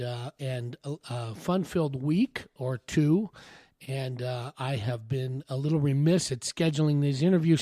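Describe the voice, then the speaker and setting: Man, hoarse, rambling podcast host, slightly high voice, dry close sound. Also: a little mellow, dry recording. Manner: rambling, with frequent uh fillers and restarts. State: hoarse, recovering from laryngitis. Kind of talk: casual solo podcast monologue.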